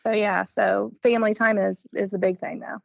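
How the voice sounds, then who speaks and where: A woman with a Southern US accent, a guest in an interview, telling stories in a chatty, animated way, full of ums and acted-out quotes. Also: medium pitch, normal speed, creaky, lo-fi sound. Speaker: guest, competitive archer and teacher. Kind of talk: casual storytelling in an interview.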